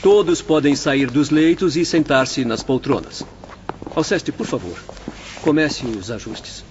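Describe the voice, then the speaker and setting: An actor playing a kindly, patient doctor in a spirit-world infirmary, courteous and reassuring, in an audiobook drama. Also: male voice, medium pitch, measured pace, a little mellow, slight background noise, lightly acted. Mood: calm, instructive. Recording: dull lo-fi audio, faint music behind.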